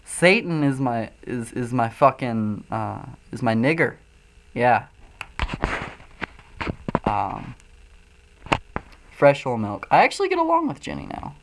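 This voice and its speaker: Midwestern dude on a stream, baked and coughy, mid-pitched voice, swearing a lot and rambling. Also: male voice, big pitch swings, takes it slowly, long pauses, rough recording. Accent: Midwestern American. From Illinois, US. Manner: loose, profane, frequent ums and uhs. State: high, coughing from smoking. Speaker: streamer reading chat. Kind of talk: rambling livestream commentary.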